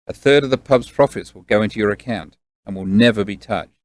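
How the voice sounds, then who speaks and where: Man playing a low-voiced, fatherly family friend. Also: close to an everyday voice. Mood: reassuring, earnest. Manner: measured, avuncular, reassuring. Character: fatherly, loyal banker friend reassuring a young boy. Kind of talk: audio drama acting.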